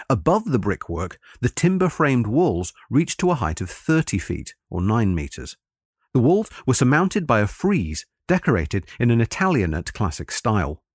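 Man narrating history with lively, varied intonation. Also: medium pitch, no echo, studio quality. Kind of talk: scripted narration of a history account.

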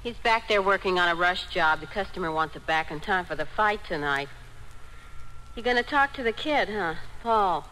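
A woman with an American accent playing a nagging, scornful young wife in an old radio drama, dismissive and sharp-tongued. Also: fairly low pitch, expressive, moderate pace. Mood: offhand, hollering. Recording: faintly noisy old radio broadcast.

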